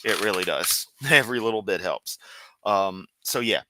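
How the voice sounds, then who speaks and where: A man's voice: lowish voice, animated, moderate pace, background noise. A man hosting a casual live stream, rambling and sarcastic while clicking around, with a sore throat. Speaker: livestream host.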